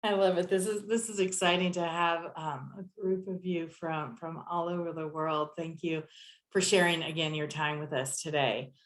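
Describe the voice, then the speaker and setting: A woman moderating an online student panel as an admissions director, speaking in a measured way with many "um" pauses. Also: on the low side, steady tone. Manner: measured, hesitant with many "um" fillers. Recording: echoey room.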